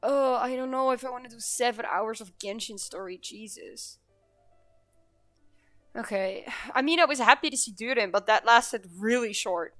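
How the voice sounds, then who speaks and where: Streamer, casual and chatty, bright tone, faint game music behind. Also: female voice, on the high side, normal speed. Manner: chatty, laughing, talks to chat. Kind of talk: casual live-stream chat.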